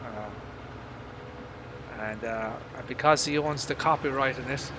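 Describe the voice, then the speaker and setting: A man with a mild Irish accent giving casual live gaming commentary in a halting, rambling, casually sweary way. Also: mid-range voice, background noise. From Ireland. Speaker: game streamer.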